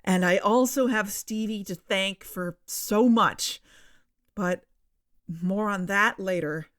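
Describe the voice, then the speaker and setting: A woman playing a defensive, self-assured investigative podcast host with a deliberate, insistent manner. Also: mid-range voice, a bit bright, no room sound, studio quality. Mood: composed, defensive. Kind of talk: scripted narration in audio drama.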